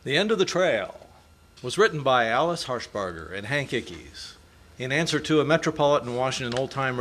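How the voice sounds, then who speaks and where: A man's voice: medium pitch, fairly bright tone. A man announcing scripted closing credits for an amateur radio drama.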